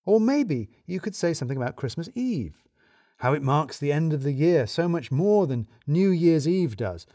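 A man's voice: a little high, very animated, normal speed, warm tone, no echo, clean studio sound. A man narrating a scripted first-person mystery story in a wry, arch, wordy storyteller's manner.

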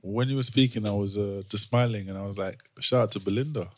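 A man's voice: fairly low pitch, fast, a little hiss or hum. A young man with a London accent hosting a podcast with casual, slangy banter, often repeating his words. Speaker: podcast host.

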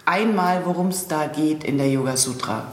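A woman's voice: low voice, moderate pace, slight background noise. A woman hosting a podcast interview, articulate and conversational with a lightly ironic touch. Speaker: podcast host.

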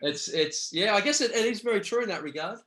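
A man's voice: high-pitched, animated, fairly bright tone, a little hiss or hum. A man in his forties from Australia, a former rugby player, talking reflectively in a casual interview with frequent restarts. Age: 40s. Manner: reflective, halting with many restarts.

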